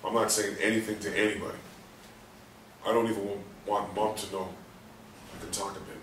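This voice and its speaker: A man's voice: fairly low pitch, level tone, a little fast, fairly bright tone, natural voice. An actor in a staged play reading playing a guilt-ridden, bitter husband who hides that he can talk, recorded in a roomy space. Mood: sullen, guarded. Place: roomy indoor space, far from mic. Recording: roomy, distant mic with faint noise.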